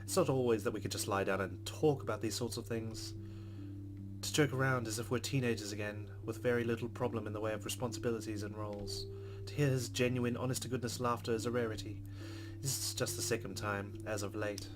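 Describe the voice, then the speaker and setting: Low voiced guy reading a visual novel out loud for a let's play, music playing behind. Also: fairly even intonation, brisk. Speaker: gaming let's play host. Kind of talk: reading a visual novel aloud with casual commentary.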